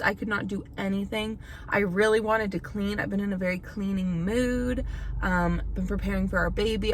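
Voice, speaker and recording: A woman in her thirties, slightly hoarse from illness, chatting casually to camera at home, rambling with lots of fillers and restarts. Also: medium pitch, a bit bright. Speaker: vlogger. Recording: faint background noise.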